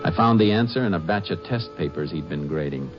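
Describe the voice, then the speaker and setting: A low-voiced American Texas Ranger, steady and no-nonsense, speaking in terse, measured lines with quiet authority. It is 1950s radio crime drama, dulled by the old recording, with music. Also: male voice, dull audio. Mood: narrating, grave.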